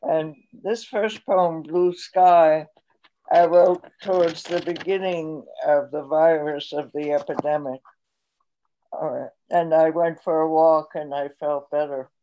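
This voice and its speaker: A woman in her eighties reading her own poetry aloud in a slow, measured way over a video call from her home. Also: deep voice, warm tone. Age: 80s. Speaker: poet reading her work. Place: home room, indoors. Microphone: laptop webcam on video call. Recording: dull lo-fi video call audio.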